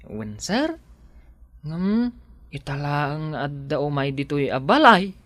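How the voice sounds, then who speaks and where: Animated, slow-talking humble country man. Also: fairly high pitch, no room sound, exaggerated voice. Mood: polite, eager. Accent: Ilocano. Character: humble, hardworking rural poor man, eager and deferential. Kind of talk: Ilocano radio drama acting.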